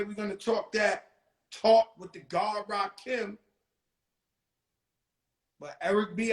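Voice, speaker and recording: A man's voice: sits high, reverberant. A man with a New York accent hosting a live stream, talking casually in street slang with repeated exclamations.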